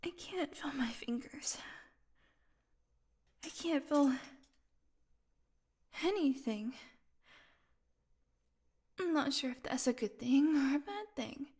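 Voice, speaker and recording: An actress plays a captive vampire woman with a fairly high, breathy voice. She speaks slowly and wearily, slipping into whispers. Her intimate roleplay delivery is sardonic, teasing and edged with hunger. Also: expressive, darkish tone, exaggerated voice. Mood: dazed, weak. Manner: sarcastic, breathy murmurs, drawn-out weary delivery. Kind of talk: intimate audio roleplay acting.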